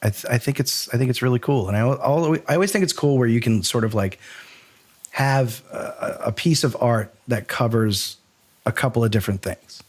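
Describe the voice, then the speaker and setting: Male podcast host, mid-pitched, conversational and joking. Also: brisk. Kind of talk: casual chat.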